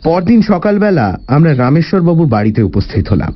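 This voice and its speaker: A man narrating a detective story as the sleuth's observant companion, in an expressive scripted style. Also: fairly high pitch, brisk, dull audio. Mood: descriptive, suspenseful.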